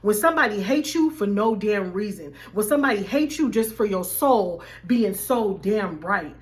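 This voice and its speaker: A woman life coach, slightly hoarse, talking animatedly to her viewers in a casual faith-based vlog. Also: medium pitch, normal speed. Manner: animated, addresses viewers as y'all.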